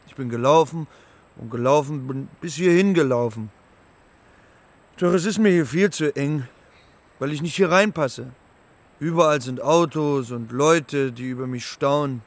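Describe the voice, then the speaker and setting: An actor in a children's radio play voicing a naive, homesick young moose who talks in a simple, halting, literal way. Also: male voice, a little high. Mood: wistful. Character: young male moose, homesick, naive, earnest talking animal.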